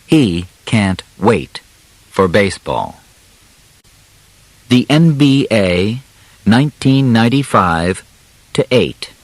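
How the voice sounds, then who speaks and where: A man reading a scripted audiobook in simple, measured phrases with clear pauses. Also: lowish voice, sing-song, dry recording, rough recording. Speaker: audiobook narrator. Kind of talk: scripted narration of a simplified biography for learners.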